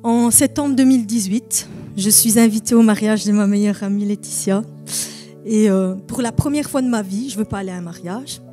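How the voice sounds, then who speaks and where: A woman's voice: medium pitch, moderate pace, dark tone, soft background music. A woman in her thirties of Italian family background, giving a hesitant, earnest testimony at a church baptism service. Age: 30s. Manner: earnest, hesitant with many fillers.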